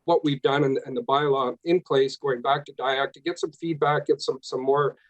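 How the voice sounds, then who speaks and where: A man's voice: medium pitch, steady tone, moderate pace, no room sound, rough recording. A man giving remarks as a city councillor in a council debate.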